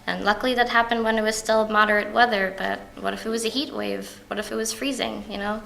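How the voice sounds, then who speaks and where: A young adult woman recalling her experience in a flat, matter-of-fact way. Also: medium pitch, quick pace, vocal fry, a little hiss or hum. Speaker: interviewee. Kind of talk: personal testimony.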